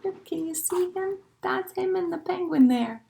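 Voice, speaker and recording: A woman's voice: very high-pitched, moderate pace, vocal fry, warm tone. A woman who hosts children's storytime, reading aloud expressively and speaking simply and encouragingly to small children. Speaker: children's storytime librarian and host. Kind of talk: reading a picture book aloud to young children, with songs and asides.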